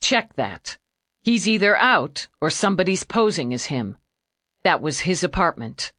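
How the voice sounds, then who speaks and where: A woman's voice: on the low side, very animated, a little slow, fairly bright tone, no echo, studio quality, exaggerated voice. A woman voicing a tough female homicide lieutenant who gives blunt, curt orders. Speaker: police lieutenant.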